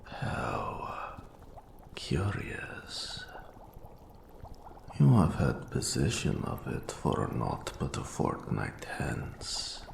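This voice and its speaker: Ancient wraith mentor, eerie, erudite, rasping half-whisper, crawling pace with long pauses, roomy echo, fantasy audio drama. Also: male voice, rumbling low, very animated, noisy background, full character voice. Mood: curious, measured. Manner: slow, archaic formal phrasing with long pauses. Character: old undead wraith mentor, eerie, courtly, erudite, ominous.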